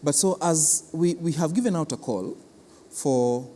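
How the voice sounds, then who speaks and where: A man with a Kenyan accent explains things as an interviewed official, speaking haltingly and repeating his words. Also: on the high side, warm tone. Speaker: cultural institution official being interviewed. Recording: reverberant hall with clear background noise.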